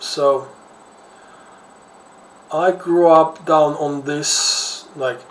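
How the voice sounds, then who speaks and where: A man's voice: on the high side, fairly even intonation, extremely slow. Echoey, distant man with a Ukrainian accent. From Kherson, Ukraine. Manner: rambling, conversational, repeats words while searching for phrasing. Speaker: social commentator, host. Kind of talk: informal off-the-cuff news commentary. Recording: echoey room, distant mic, faint noise.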